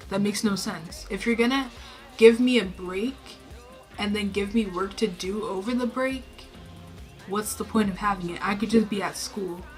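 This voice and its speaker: A teenage girl from New York State talking to the camera in her bedroom, speaking low and subdued, self-conscious and rambling with many fillers. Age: teens. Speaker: vlogger. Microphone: camera in her room.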